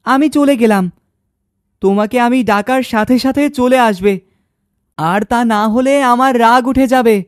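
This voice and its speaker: A cocky little village boy from a cartoon, played by a voice actor, bossy and old beyond his years. The voice is mid-pitched for the part and recorded very dry. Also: moderate pace, dry recording, lightly acted. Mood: bossy threatening. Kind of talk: cartoon dialogue acting.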